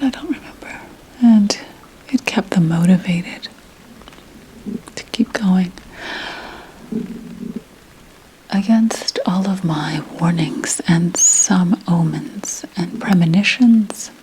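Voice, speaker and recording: A woman voicing a 17th-century witch who tells of her coven in a breathy ASMR whisper, hushed and deliberate. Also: mid-range voice, slight background noise, natural voice, low-quality recording. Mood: wry, hushed. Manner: slow, hushed, deliberate storytelling. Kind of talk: whispered ASMR roleplay storytelling.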